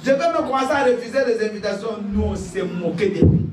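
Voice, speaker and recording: A male pastor, preaching a sermon, shouting his key points and laughing through his stories. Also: high-pitched, expressive, brisk, faint music behind. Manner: exhorting, laughing asides, shouted declarations. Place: church. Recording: echoey church hall, picked up from a distance. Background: congregation responding.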